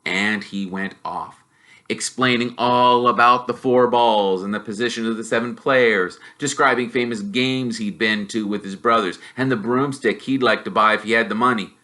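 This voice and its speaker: A man reading a children's novel aloud as an expressive storyteller. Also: lowish voice, moderate pace. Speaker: storybook reader, teacher.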